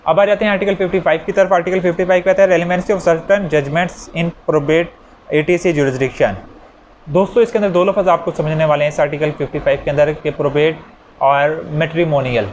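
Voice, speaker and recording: A man's voice: fairly high pitch, fairly even intonation, a little fast. A man with a Pakistani accent giving an explanatory law lecture, restating points in simpler words in a didactic way. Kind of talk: explanatory lecture with worked examples. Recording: dull lo-fi audio, faint background noise.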